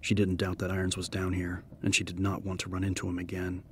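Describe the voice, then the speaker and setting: A man narrating a scripted horror story as an audiobook, measured and serious. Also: deep voice, monotone, a little hiss or hum.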